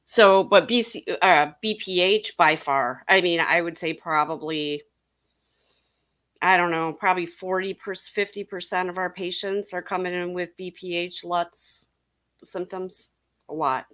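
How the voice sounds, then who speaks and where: Low-pitched woman chatting casually. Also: a little slow, fairly bright tone. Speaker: urology clinic panelist. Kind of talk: casual panel chat.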